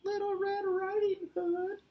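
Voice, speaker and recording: A man voicing a big bad wolf who poses as a sweet old grandmother, in a mock-sweet falsetto storybook voice. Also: monotone, slow pace, dark tone.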